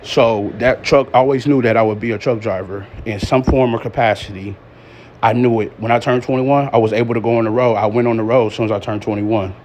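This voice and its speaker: A man's voice: medium pitch, a little fast. A man in his thirties chatting casually and plainly as he drives a truck, like a vlogger on the road. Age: 30s. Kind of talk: casual chat while driving. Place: inside a truck cab on the road. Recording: steady road and engine noise. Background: truck cab driving noise.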